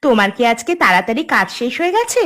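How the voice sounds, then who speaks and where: A woman's voice: fairly high pitch, big pitch swings, fast talker, pushed and bright. A woman voicing a caring, sensible village housewife in a cartoon, with a soothing, advising manner. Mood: surprised, curious. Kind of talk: Bengali cartoon voice acting.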